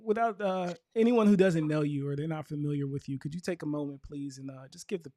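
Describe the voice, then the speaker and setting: A man's voice: fairly high pitch, fast, warm tone. A man hosting a live show in a casual, colloquial, chatty way. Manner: informal, colloquial. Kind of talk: casual livestream chat.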